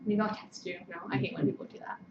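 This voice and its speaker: A woman's voice: on the low side, fast talker. Young American woman, distant and echoey. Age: young adult. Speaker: student government committee chair. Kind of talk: informal meeting talk. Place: meeting room. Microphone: distant room microphone. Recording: distant muffled mic, echoey room, noisy.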